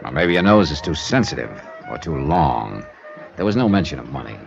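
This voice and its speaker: An actor playing a hard-boiled, wry insurance investigator in a 1950s radio detective drama. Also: male voice, a bass voice, sing-song, fairly quick, creaky, heavily acted. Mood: wary, clipped. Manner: wry, clipped, casual tough-guy talk. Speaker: detective narrator and lead. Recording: dull old radio recording, music behind. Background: jazz music.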